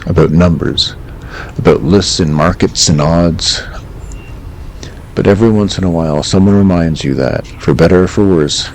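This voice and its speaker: A man acting as a late-night radio host with a low, creaky voice. He is calm and dryly wry, delivering eerie scripted monologues in a measured, reflective way. There is faint noise behind him.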